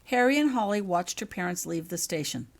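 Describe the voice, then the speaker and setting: A woman's voice: fairly low pitch, lively intonation, fairly quick. A woman reading her own written story aloud as scripted audiobook narration.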